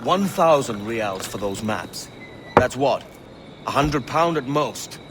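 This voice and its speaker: An actor playing a brash, greedy, roguish pirate in a video game, irreverent and colloquial. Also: male voice, mid-range voice, animated, slow. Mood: grumbling. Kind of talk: video game cutscene acting. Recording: noisy ambience behind the voice.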